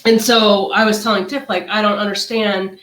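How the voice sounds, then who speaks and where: A woman's voice: medium pitch, little pitch movement, moderate pace, fairly bright tone. A middle-aged woman who sounds nasal and stuffed up, co-hosting a casual wellness livestream and talking in a chatty, rambling way with many fillers. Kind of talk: casual chat. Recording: echoey room.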